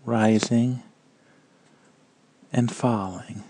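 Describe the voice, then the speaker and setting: A man guiding a meditation in a calm, measured and gentle manner, leaving long pauses between phrases. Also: medium pitch, no room sound, poor audio. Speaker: meditation guide. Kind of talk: guided meditation narration.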